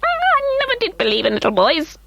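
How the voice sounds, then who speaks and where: Mister Munty, a woman doing a high teasing elfin man. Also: huge pitch swings, creaky, bright, forceful tone, no echo, highs cut off, heavily acted. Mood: playful. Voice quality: high little voice. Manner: teasing, quick chuckling. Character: plump little elfin man, sly and giggly. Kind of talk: storybook reading.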